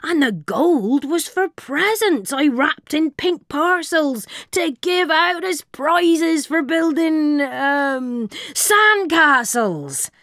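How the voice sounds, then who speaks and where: A woman voicing a boastful, slyly innocent male pirate captain with a gravelly, theatrical voice. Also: sits high, lively intonation, slow pace, hardly any pauses, a bit bright, no room sound, clean studio sound, voice pushed up, exaggerated voice. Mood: feigned innocence. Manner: blustering, theatrical.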